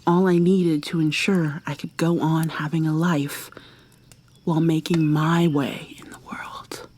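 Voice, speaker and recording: Woman acting a slow, breathy, menacing obsessive lover. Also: sits low, flat delivery. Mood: chilling confession. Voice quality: soft, breathy, often dropping to a whisper. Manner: slow, deliberate, mock-sweet and threatening. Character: obsessive, menacing female serial killer lover, coldly possessive. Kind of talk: intimate ASMR roleplay monologue.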